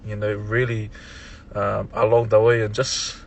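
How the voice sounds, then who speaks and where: A man's voice: lowish voice, flat delivery, unhurried, creaky, a little hiss or hum. Laid-back Tongan-accented young man. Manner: laid-back, laughs often, many restarts. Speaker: podcast guest. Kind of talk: casual interview chat.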